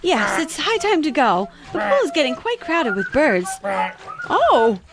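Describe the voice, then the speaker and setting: Earnest, chatty little girl, voiced by a woman; high and sing-song. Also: very animated, measured pace, full character voice. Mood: chattery. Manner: chattery, earnest, easily flustered. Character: curious, polite little girl. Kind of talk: audio drama acting.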